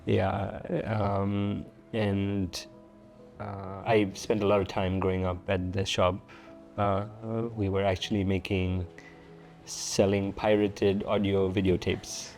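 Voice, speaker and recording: A Tanzanian man, a founder and guest, talking casually in an interview, relaxed and full of fillers and restarts. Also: low-pitched, takes it slowly, creaky, faint music behind.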